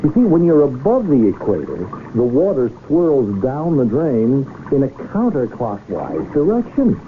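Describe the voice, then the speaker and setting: Courtly lonely rich man, formal and florid, lively mid pitch, radio drama, thin noisy old broadcast. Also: narrow, tinny audio. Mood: explaining, smug. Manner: formal, florid, deliberate speech. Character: lonely rich gentleman, shrewd, courtly and happily in love. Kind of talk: 1970s radio drama acting.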